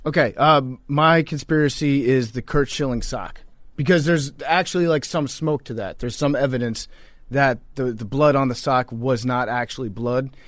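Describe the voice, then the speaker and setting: A man's voice: medium pitch, moderate pace, dry recording. A man co-hosting a sports podcast in casual, profane banter, telling stories informally. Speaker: podcast co-host.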